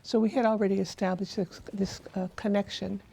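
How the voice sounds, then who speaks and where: An older woman, a religious sister born in El Salvador and raised in San Francisco, recalling her past in an oral history interview, speaking thoughtfully and pausing with fillers and restarts. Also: mid-range voice, flat delivery, vocal fry, warm tone, breathy edge, rough recording.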